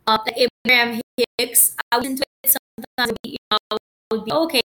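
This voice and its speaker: A woman's voice: fairly high pitch, steady tone, fast, bright, forceful tone. A woman from Haiti talking casually about her personal journey, rambling with many fillers and restarts.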